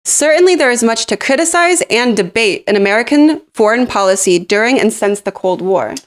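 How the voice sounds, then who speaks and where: A woman with a fairly high voice co-hosts a political program. Her delivery is scripted dialogue, written as a back-and-forth commentary. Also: animated, a little fast. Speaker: co-host of political show.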